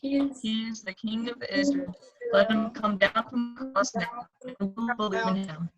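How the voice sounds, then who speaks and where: A woman's voice: fairly high pitch, fairly even intonation, moderate pace, music in the background, lightly acted, poor audio. A woman reading the part of a scornful chief priest in a church scripture reading, in a firm, emphatic way.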